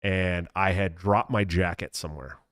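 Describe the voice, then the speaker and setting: A man in his thirties hosting a casual podcast, telling a story with many ums, likes and pauses to get around a mild stutter. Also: deep voice, steady tone, a bit bright, no echo, studio quality. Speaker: podcast host.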